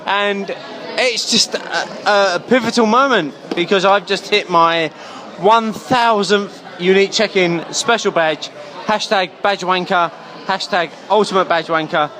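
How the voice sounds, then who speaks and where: Tipsy british bloke recording in a bar, high forceful voice, people chattering behind. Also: male voice, unhurried. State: drunk. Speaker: podcast host. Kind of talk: casual on-location recording. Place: busy bar. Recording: clip in a noisy bar crowd.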